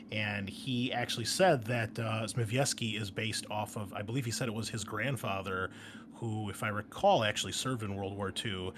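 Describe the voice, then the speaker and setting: A man speaking as game master and host, chatting casually with enthusiasm and slang. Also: fairly low pitch. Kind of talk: casual table chat and game recap. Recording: noticeable background noise.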